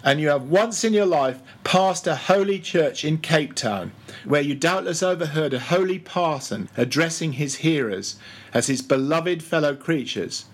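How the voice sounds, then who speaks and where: Man playing a bossy, high-pitched ship's mate. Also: lively intonation, normal speed. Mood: mocking, commanding. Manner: commanding, interrogating, mock-solemn. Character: bossy, mocking male ship's mate, badgering and pompous.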